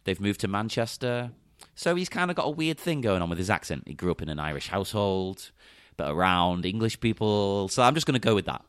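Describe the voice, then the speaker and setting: Male guest, conversational, highly varied intonation, very dry and close recording. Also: big pitch swings, brisk, smooth, dry recording. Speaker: podcast guest. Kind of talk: casual chat.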